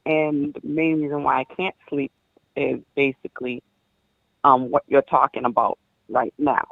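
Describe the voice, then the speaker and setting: A woman's voice: rumbling low, flat delivery, vocal fry, dry recording. Haitian-born woman telling her story by phone. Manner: halting, many ums and restarts. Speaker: caller. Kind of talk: personal story on a call-in show. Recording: dull dry phone line.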